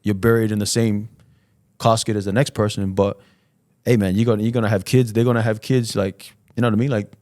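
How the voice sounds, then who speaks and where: Low-pitched man chatting in casual slang. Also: little pitch movement, a little fast, a little mellow, dry recording, studio quality. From Fiji. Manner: slangy, informal, peppered with "man" and "you know". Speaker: podcast guest, entrepreneur. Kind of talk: casual chat.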